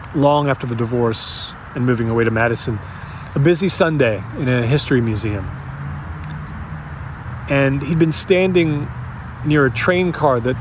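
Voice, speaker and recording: A man's voice: mid-range voice, natural voice. Male actor doing worked-up guy telling a tense story, audio drama, conversational, stops and starts, bit of ambient noise behind. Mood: uneasy, intent. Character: agitated man recounting a tense, secretive encounter.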